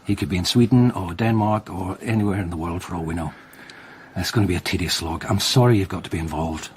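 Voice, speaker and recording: An actor playing a blunt, weary provincial police detective in a radio drama. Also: male voice, low-pitched, fast, slight background noise, close to an everyday voice. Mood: weary, exasperated. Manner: blunt, exasperated.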